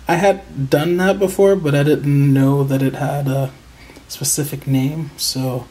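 A crochet channel host in a casual show-and-tell, sounding excited and proud and pausing often with hesitant ums. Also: mid-range voice. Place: indoors at a table.

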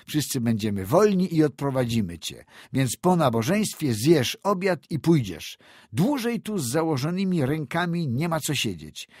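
A man's voice: on the low side, dry recording, studio quality. A man voicing a stern old village man who scolds a boy in a storybook reading, speaking raised and reproachful. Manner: raised, forceful, reproachful delivery.

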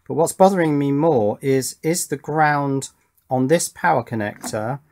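A middle-aged man talks through hobby electronics at an indoor bench. His pitch is average for a man. He thinks aloud in a measured, casual way, often asking himself questions and then answering them. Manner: musing, self-questioning, measured. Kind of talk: casual hands-on workbench commentary, thinking aloud.

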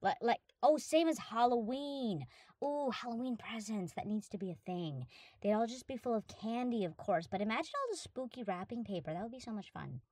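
A woman's voice: fairly high pitch, lively intonation, brisk, a little mellow, no room sound. A woman playing a hyperactive, affectionate young dog-girl roommate in a roleplay audio drama, gushing and rambling eagerly to the listener. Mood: musing, playful.

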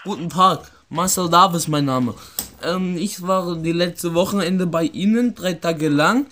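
A young man making a prank phone call and talking to viewers, with a halting, hesitant delivery. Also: high-pitched, sharp tone, a little hiss or hum. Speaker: prank caller, video host. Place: indoor room with wooden floor.

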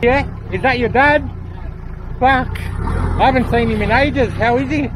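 A man's voice: extremely high pitch, a little slow. A man filming an offroad trip, chatting and joking with other drivers on an outdoor bush track. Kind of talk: casual chat. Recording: loud engine noise outdoors.

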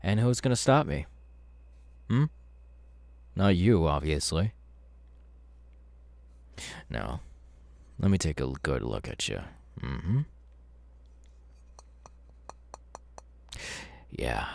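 A man playing a demon's masked henchman in an ASMR horror roleplay, chatty and halting, with mock-soothing menace. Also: rumbling low, very animated, creaky, darkish tone, no echo, heavily acted. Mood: smug, teasing. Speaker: ASMR voice actor.

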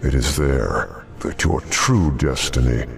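Game demon villain: gravel-throated, unhurried, ominous and grandiose. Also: male voice, rumbling low, very animated, faint music behind, heavily acted. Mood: ominous taunting. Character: menacing demon lord taunting a young prince. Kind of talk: video game cutscene acting.

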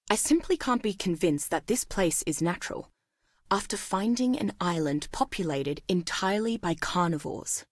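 A woman's voice: lowish voice, husky, no room sound, studio quality. An actress playing an inquisitive, analytical woman biologist in a video game, reading journal entries in an articulate, dryly wry way. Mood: insistent, convinced. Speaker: voice actor.

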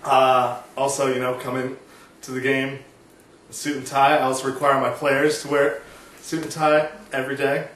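Guy stumbling thru answers w lots of ums, middle pitch, roomy faraway sound. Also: little pitch movement, fairly bright tone, recorded from a distance. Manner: halting, hesitant, full of ums. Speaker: head coach at a mock press conference. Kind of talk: comic press conference skit. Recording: echoey room, heard from afar.

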